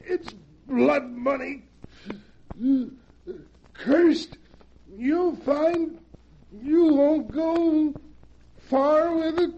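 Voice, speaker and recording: A man playing a poisoned, dying husband in a 1940s radio drama, talking in slow, gasping, bitter phrases. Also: very high voice, steady tone, gravelly, heavily acted. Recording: dull lo-fi 1940s radio recording.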